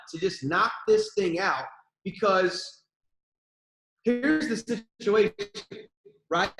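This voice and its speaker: A young man giving an energetic, repetitive motivational pep talk to his team as a network marketing leader. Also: sits high, echoey room, slight background noise, poor audio.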